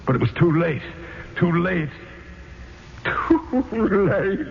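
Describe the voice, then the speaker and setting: A man's voice: sits high, very animated, vocal fry, background noise, exaggerated voice. An actor playing a doomed, centuries-old ghost nobleman in a theatrical, imploring radio drama style. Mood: anguished. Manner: theatrical, slow, repeating phrases. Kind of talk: 1970s radio drama acting. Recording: dull old radio broadcast, loud music.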